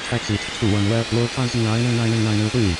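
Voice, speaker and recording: A man's voice: lowish voice, level tone, quick pace. A man speaking formulaic air traffic radio calls as a pilot, in a procedural manner.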